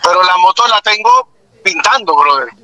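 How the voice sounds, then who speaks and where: A man's voice: sits high, expressive, normal speed, sharp tone. A man with a Panamanian accent in a casual phone chat, slangy and exclamatory. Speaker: friend receiving a prank call. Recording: noisy phone call on radio.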